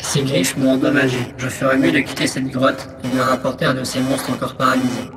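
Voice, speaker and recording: Flat-toned little explorer robot, acted by a man. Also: mid-range voice, a little fast, heavy background noise, close to an everyday voice. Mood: determined. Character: small exploration robot, methodical, dutiful scout reporting findings. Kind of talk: scripted sci-fi audio fiction monologue.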